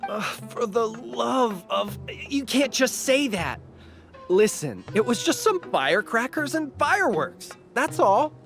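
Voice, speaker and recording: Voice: mid-range voice, sing-song, takes it slowly, vocal fry, fairly bright tone, faint music behind, exaggerated voice. An actor playing a jumpy, aggrieved desert caravan leader in a video game, agitated and defensive.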